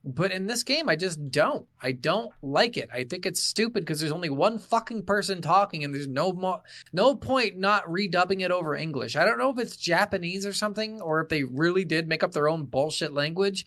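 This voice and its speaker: Blunt, profane man with a higher-pitched voice. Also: lively intonation, brisk, few pauses, a bit bright, no echo. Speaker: podcast host. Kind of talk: casual game review chat.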